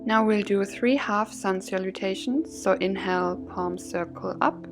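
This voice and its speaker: A woman leading a guided yoga and gratitude class as instructor, soothing and measured in her phrasing. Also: mid-range voice, loud music behind the voice. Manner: soothing, gently measured phrasing with breath cues.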